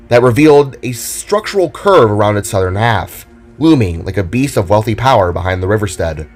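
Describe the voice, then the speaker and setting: A man narrating a scripted story in a dramatic, deliberate and theatrical way. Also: mid-range voice, a little mellow. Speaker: narrator and author.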